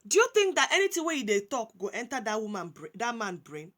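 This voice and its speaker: Nigerian woman, high voice, nigerian pidgin-laced english, casual commentary monologue, frequent restarts. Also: fast. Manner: animated, repetitive restarts, mixing English and Pidgin. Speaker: commentary vlogger.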